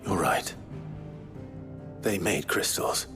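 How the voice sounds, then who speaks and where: Deep, brooding British hero. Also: male voice, animated, slow, over music. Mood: grave, resolute. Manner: grave, understated, dry. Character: brooding, dry-witted adult male fantasy hero. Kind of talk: video game voice acting.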